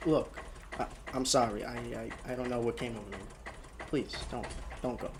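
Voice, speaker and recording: A man's voice: medium pitch, steady tone, moderate pace, natural voice. An actor playing a haunted, desperate young male driver in a radio drama, with an intense delivery that stammers under agitation. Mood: desperate pleading. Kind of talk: radio drama acting with first-person narration. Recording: clearly noisy radio play recording.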